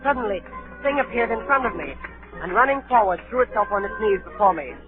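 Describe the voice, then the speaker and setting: A man's voice: sits high, expressive, quick pace, over music. An actor playing an earnest boy adventurer, telling his perilous tale in clipped, dramatic 1930s radio narration. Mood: tense. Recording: tinny 1930s radio broadcast.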